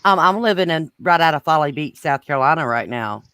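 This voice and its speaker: A woman's voice: low-pitched, animated, a little fast, fairly bright tone, clear, resonant tone, dry recording. A woman from Georgia with a Southern accent, talking in a plainspoken country way and swearing at times. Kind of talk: casual chat.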